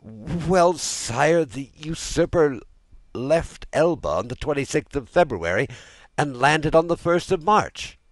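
A man's voice: fairly high pitch, animated, takes it slowly, no echo, pitched above the performer's own voice. Man acting a fearful, pleading, stammering minister. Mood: distressed, pleading. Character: fearful, despairing police minister, humbly addressing his king. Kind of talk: audiobook character dialogue.

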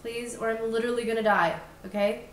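Anxious, halting young woman played by an actress, slightly high voice, naturalistic film phone call with clear background noise. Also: steady tone, lightly acted. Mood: stressed, pleading. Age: young adult. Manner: hesitant, halting. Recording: noisy location sound, background hiss.

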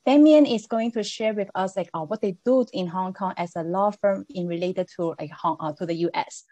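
A woman's voice: mid-range voice, moderate pace, mellow, dry recording. A woman hosting an online business mixer over a video call, friendly and casual with many fillers. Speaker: event host.

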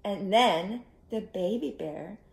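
A middle-aged woman telling an interactive story to young children, expressive and instructive, inviting them to join in, recorded in a living room. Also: mid-range voice, slow, vocal fry, reverberant. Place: small living room, indoors. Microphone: camera a short distance away.

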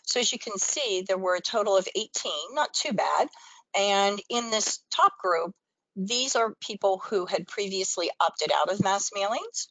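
A woman's voice: medium pitch, lively intonation, unhurried, fairly bright tone, no echo, poor audio. A woman presenting a training webinar, explaining things step by step in an instructional screen-share style.

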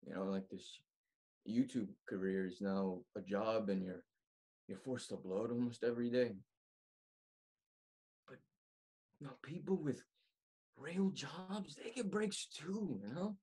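A young man in his twenties performing a dramatic monologue as a worried, exasperated roommate pleading in halting phrases. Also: mid-range voice, warm tone, slightly rough. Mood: subdued, worried. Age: 20s. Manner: halting, repeating words. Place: small room indoors at home. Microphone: webcam at arm's length.

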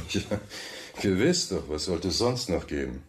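A deep-voiced man of money in a radio play. He is suave and coolly probing, talking to his rival with smooth, polite condescension. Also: big pitch swings, unhurried, a little hiss or hum. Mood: casually chatty. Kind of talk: German radio drama dialogue.

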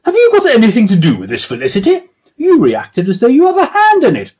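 A man reading aloud and voicing a smug, brisk woman who manages an office. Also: very high voice, huge pitch swings, a little mellow, husky, pitched above the performer's own voice, exaggerated voice. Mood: suspicious. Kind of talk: storybook reading.

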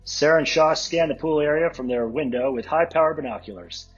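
A man narrating stage directions from a script at a table read, with a very husky voice. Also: a little high, fairly quick. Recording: dull lo-fi audio, music behind.

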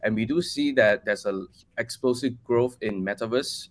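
A man's voice: medium pitch, normal speed. A man in his forties with a Malaysian accent, answering interview questions casually over a video call. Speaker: guest, crypto project team member. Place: bright room at home, video call.

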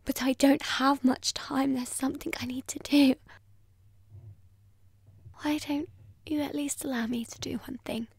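A woman playing a ghostly, obsessive dead fiancée in a breathy, whispered ASMR roleplay, intimate, possessive and menacing. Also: high voice, steady tone, measured pace, pauses often, no echo. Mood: coaxing, eerie.

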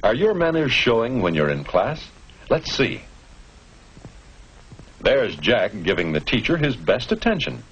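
A man's voice: mid-range voice, big pitch swings, measured pace, long pauses, a bit bright, breathy edge, highs cut off. A man narrating a 1950s educational film in an instructive manner, putting rhetorical questions to the viewer. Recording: noisy old 1950s film soundtrack.

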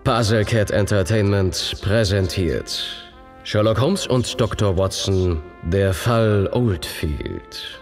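A male announcer with a very low voice presents an audio drama. The delivery is scripted, and soft brass music plays behind him. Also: takes it slowly.

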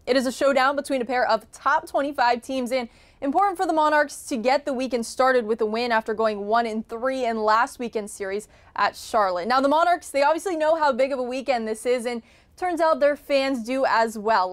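A young woman anchoring a local TV sports show, reading scripted narration in a studio. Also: on the high side. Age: young adult.

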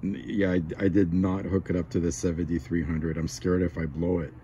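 Male amateur radio operator, low-pitched, slangy and admiring, clear static in the recording. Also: flat delivery, a little fast, mellow, muffled recording. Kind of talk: casual ham radio chat.

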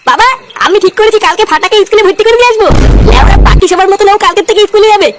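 Blustering comic dad female performer: extremely high pitch, rapid, foul-mouthed, boastful, noisy recording. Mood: determined. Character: crude, hot-tempered, boastful father of a cartoon schoolboy. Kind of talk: comedy cartoon dialogue.

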